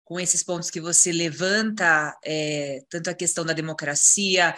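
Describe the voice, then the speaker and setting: A woman's voice: on the low side, steady tone, normal speed, pushed and bright. A woman with a Brazilian Portuguese accent moderating an online panel, introducing guests in long flowing sentences with "é" fillers, over a video call. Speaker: webinar moderator.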